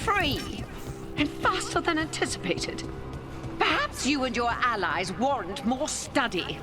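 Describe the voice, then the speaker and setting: An imperious ancient elven goddess from a fantasy game. Her voice is fairly high and swoops widely, with slow, deliberate phrasing. She sounds grand, cruel and scornful of lesser beings. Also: very animated. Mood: coldly curious. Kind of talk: video game villain voice acting. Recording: game music behind the voice.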